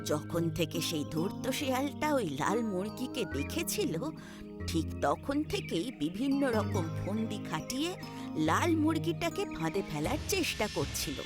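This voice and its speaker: A woman narrating a children's story in an expressive, scripted storytelling style. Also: medium pitch, a little slow. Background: loud music.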